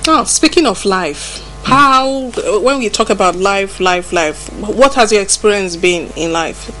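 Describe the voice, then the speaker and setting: A woman's voice: medium pitch, unhurried, bright, forceful tone, soft background music, low-quality recording. A woman hosting a TV interview, curious and encouraging, with short affirming hums. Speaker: TV interviewer host.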